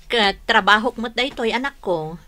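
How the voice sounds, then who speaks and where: A brisk housewife and mother in an Ilocano radio drama. Her voice is bright and firm, and she nags and scolds in a practical, caring way. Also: on the high side, expressive. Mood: weary, firm. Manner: bossy, nagging, practical.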